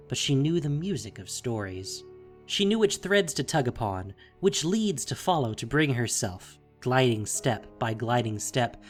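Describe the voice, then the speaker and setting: Highly expressive male story narrator. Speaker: audio drama narrator. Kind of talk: scripted dramatic fantasy story narration. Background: music.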